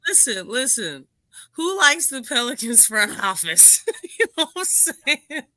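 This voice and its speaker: A woman's voice: high voice, big pitch swings, unhurried, pushed and bright, no echo. A woman with a New Orleans accent co-hosting a casual sports podcast, teasing and laughing often. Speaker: podcast co-host.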